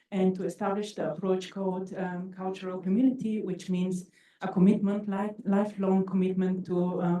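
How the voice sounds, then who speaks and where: A woman from Bulgaria, a researcher speaking on a panel, soft-spoken and hesitant. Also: lowish voice. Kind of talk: panel discussion. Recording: distant echoey mic.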